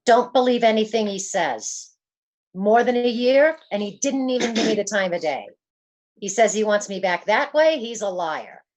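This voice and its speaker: A woman's voice: medium pitch, expressive, normal speed, darkish tone, natural voice. A woman playing a frightened young gangster's moll on the run, slangy and pleading, in an old-time radio detective play. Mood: fearful, urgent.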